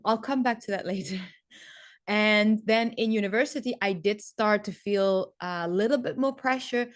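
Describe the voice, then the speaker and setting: A woman's voice: medium pitch, dry recording, studio quality. A young adult woman, a teacher, talking casually and reflectively, full of "like" and "um". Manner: chatty, loose, with frequent "like" and "um".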